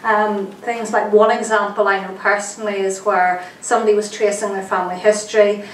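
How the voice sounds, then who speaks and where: A woman's voice: mid-range voice, little pitch movement, a little fast, a little hiss or hum. A woman giving a lecture to an audience, sounding nasal from a cold, chatty and friendly with plenty of ums. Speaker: archivist giving a genealogy lecture. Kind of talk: public lecture. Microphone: distant room mic. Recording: echoey lecture room recorded from a distance.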